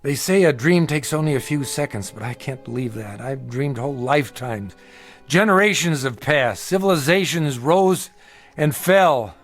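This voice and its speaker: A man's voice: medium pitch, expressive, normal speed, faint music behind, natural voice. A man playing a troubled, earnest middle-aged dreamer in a radio drama, confiding in an intense, hesitant, brooding way. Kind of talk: radio drama acting, confessional narration.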